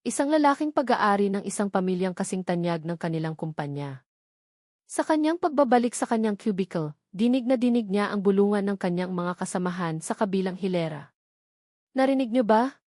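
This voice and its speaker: A woman reading a scripted romantic story in a measured, dramatic way. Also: medium pitch, animated, a little mellow, no room sound, clean studio sound. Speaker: narrator.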